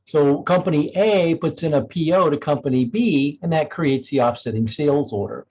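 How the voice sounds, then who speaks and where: A man with a fairly high voice walks through software as a demonstrator. He explains things conversationally, thinking aloud as he goes. The recording is somewhat dull and lo-fi. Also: normal speed, slight background noise. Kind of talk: informal software demo walkthrough.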